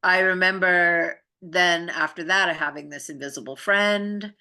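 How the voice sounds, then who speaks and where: A woman with a low, gravelly voice talks casually about her own experiences. She rambles informally, circling back and letting thoughts trail off, with a bright edge to the sound. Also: fairly even intonation, a little slow. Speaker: spiritual practitioner in conversation. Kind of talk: casual chat, personal storytelling.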